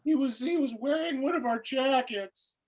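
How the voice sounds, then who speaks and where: A woman's voice: high voice, a little mellow, husky, rough recording. A woman acting a flustered, hesitant young male security guard in a script table read. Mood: flustered, defensive. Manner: halting, unsure.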